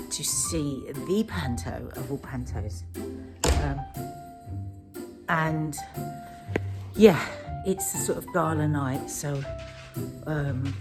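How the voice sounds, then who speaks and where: Unhurried British woman vlogger, lowish voice. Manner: rambling, informal, self-interrupting. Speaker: family vlogger. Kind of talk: casual chatty vlog.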